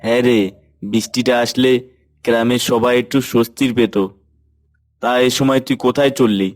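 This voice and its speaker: A man's voice: mid-range voice, steady tone, normal speed, lightly acted. Casting: plain-spoken, worried rural father man acting, earnest and homely, middle register, for a cartoon tale. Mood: friendly.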